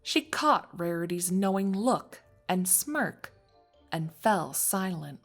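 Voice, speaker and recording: A woman narrates a scripted story in a slightly low voice. She reads at a very unhurried pace, as a storyteller between lines of dialogue. Music plays faintly behind her. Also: lively intonation.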